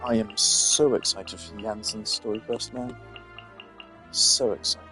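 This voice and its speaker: A man's voice: fairly low pitch, fairly even intonation, a little slow, bright, forceful tone, soft background music. A man giving casual, rambling gaming commentary, thinking aloud as a streamer. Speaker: game streamer.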